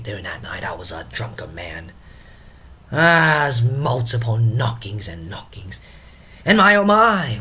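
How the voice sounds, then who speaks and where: Man playing a loud, theatrical drunken porter. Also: medium pitch, husky, heavily acted. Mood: boastful, rueful. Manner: exclamatory, dramatic, swinging from loud calls to hushed muttering. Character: drunken, rambling comic castle porter playing at hell's gatekeeper. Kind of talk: theatrical Shakespearean soliloquy.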